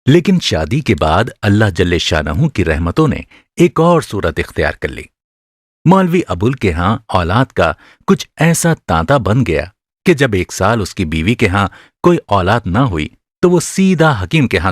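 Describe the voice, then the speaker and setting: A male storyteller narrates a radio drama in a low voice with very lively intonation. The delivery is literary and dramatic, and the sound is dry and close. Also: no echo.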